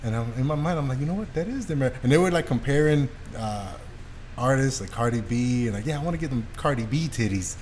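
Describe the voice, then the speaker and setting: A man's voice: medium pitch, expressive, quick pace, a little mellow, a little hiss or hum. A man hosting a podcast, chatting casually and teasingly with plenty of swearing. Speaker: podcast host. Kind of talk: casual joking group chat.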